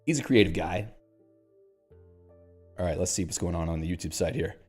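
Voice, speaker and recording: A man's voice: sits low, animated, normal speed, darkish tone, breathy edge, soft background music. A man hosting a casual livestream, chatting in a rambling way with fillers and restarts and reading out viewer comments. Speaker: livestream host.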